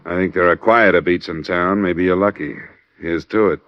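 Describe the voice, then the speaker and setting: A man's voice: sits low, fairly even intonation, normal speed, slight background noise, natural voice. A man playing a wry, middle-aged American insurance investigator in a clipped, matter-of-fact old radio noir style. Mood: casual, concerned. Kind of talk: 1940s-50s radio noir acting and narration. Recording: dull old radio recording.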